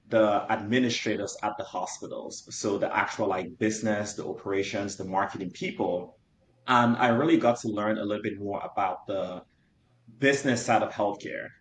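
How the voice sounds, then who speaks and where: Young male founder from Cameroon, lowish voice, earnest storyteller, roomy echo. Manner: earnest, detailed storytelling with fillers. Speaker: startup founder. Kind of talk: interview answers. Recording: roomy echo, faint rumble.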